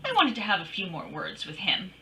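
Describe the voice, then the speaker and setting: A woman's voice: mid-range voice, wildly swooping pitch, brisk, over loud music. A woman playing a bold, wisecracking private detective in an old-time radio drama, with a breezy, teasing, wry delivery. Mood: brisk storytelling. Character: bold, wisecracking female private detective narrating her case.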